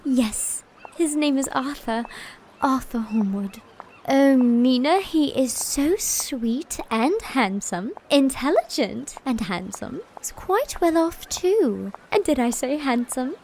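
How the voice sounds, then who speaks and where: Blunt lively young lady, high voice, playful, played by a woman. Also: takes it slowly, slight background noise, exaggerated voice. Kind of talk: audio drama acting.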